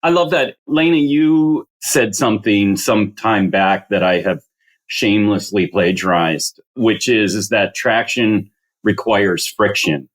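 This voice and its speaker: Lowish-voiced male podcast host. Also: measured pace. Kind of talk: hosting a panel conversation.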